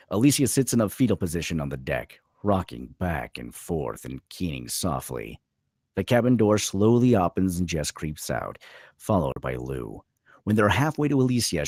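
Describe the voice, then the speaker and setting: A man with a very low voice narrates a screenplay. His delivery rises and falls animatedly, and the sound is dry and close. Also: slightly rough, no room sound. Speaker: narrator of stage directions. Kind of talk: scripted narration at a screenplay table read.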